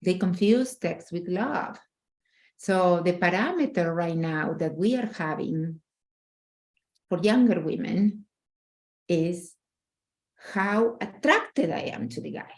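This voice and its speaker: A woman's voice: fairly low pitch, expressive, slow, pauses often, darkish tone. A middle-aged woman from Argentina, speaking earnestly and emphatically as a relationship coach in a casual interview, often restarting her phrases.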